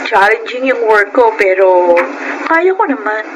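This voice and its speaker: An actress playing a hopeful, polite young woman in a radio drama, with a Filipino accent and expressive delivery. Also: fairly high pitch, unhurried, noisy background. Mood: wistful. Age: young adult.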